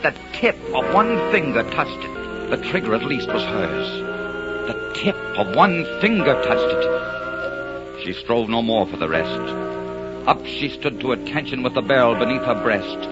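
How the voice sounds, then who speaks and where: A man performs a poetic storyteller of tragic romance, theatrical and solemn, in a mid-pitched male voice. Phrases are drawn out as verse in 1940s radio drama style. The old recording is dull, with loud music underneath. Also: natural voice.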